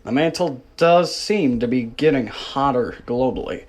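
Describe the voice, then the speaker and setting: Morning TV news presenter, acted by a man, animated pitch, mid-range voice, mock bulletin delivery. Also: a little slow. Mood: presenting. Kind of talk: amateur mock newscast.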